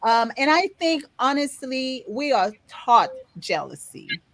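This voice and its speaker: A woman's voice: sits high, takes it slowly, dry recording. Frank Haitian woman telling stories, dry sound. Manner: frank, animated storytelling with frequent laughs. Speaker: podcast co-host. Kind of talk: candid conversational podcast chat.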